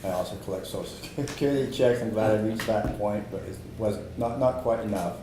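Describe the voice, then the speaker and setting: A man in his sixties from Idaho, speaking in a plainspoken, halting way as a focus group participant. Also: on the low side. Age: 60s. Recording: echoey hollow room with background noise.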